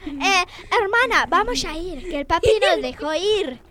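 A young girl improvising in an amateur radio play, playful, pleading and excitable, on a very noisy recording. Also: extremely high pitch, measured pace. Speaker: drama student.

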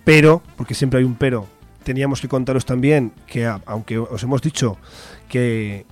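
A man with a Spanish accent from Spain talks as a radio host. His pitch is middling and his delivery is informal and explanatory, feeling its way through points. Music plays faintly behind him. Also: steady tone, moderate pace.

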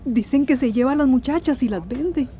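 A woman's voice: sits high, fairly quick, warm tone. A woman playing an anxious, devoted mother in a radio drama, speaking emotionally and colloquially with a Central American accent. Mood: worried, pleading. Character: anxious devoted mother searching for her missing daughter.

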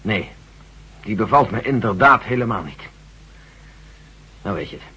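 An actor playing a brisk, authoritative general chairing a tense briefing in a radio drama. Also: male voice, on the low side, expressive, bright, forceful tone, husky, full character voice. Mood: reluctant. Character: General Stevens, brisk military commander. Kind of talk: 1970s radio drama acting. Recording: dull lo-fi radio recording.

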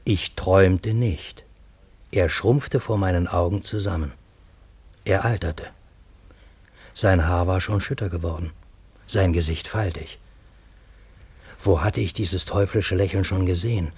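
An actor narrating a radio drama as a troubled Parisian man, with a husky voice and measured, literary, dramatic delivery. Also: deep voice, a little mellow, dry recording, full character voice. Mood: uneasy, astonished. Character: troubled Parisian man recounting his fantastic time-loop adventure.

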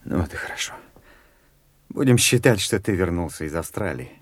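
An actor in an audio drama playing a sober, controlled doctor, speaking in a terse, restrained way. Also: male voice, low voice, lively intonation, normal speed, slightly rough. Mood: calm, firm. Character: sober, controlled doctor, confidant to a desperate friend.

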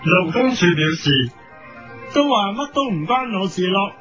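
A man with a high voice and a Hong Kong Cantonese accent reads satirical commentary like a newscaster. The recording is lo-fi radio, and music plays clearly behind him. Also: big pitch swings, unhurried. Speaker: host of a parody editorial programme. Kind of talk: satirical scripted commentary in mock news style.

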